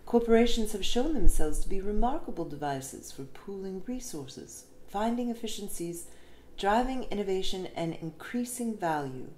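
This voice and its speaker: A woman reading an essay aloud in a measured, expressive way, with deliberate pauses. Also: medium pitch. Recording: roomy echo with faint background noise.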